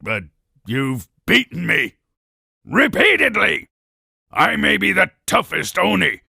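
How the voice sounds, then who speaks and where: Game role: brash tough-guy warrior creature, very gravelly, hard forceful edge, blustering. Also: on the high side, no room sound, studio quality, exaggerated voice. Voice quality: gravelly, rough. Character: tough, boastful warrior creature, grudgingly admiring. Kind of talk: scripted video game character speech.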